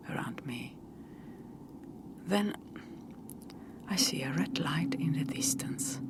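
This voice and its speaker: A woman telling a scripted first-person story in a hushed, deliberate, dramatic manner. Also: rumbling low, vocal fry. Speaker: audio storyteller.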